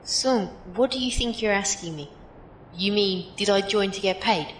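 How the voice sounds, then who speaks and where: A woman's voice: normal speed, close to an everyday voice. A woman playing a breezy, blunt, tough female teammate in an audio drama, talking in a casual, folksy, direct way. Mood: blunt, earnest. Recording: echoey room with faint noise, rumble.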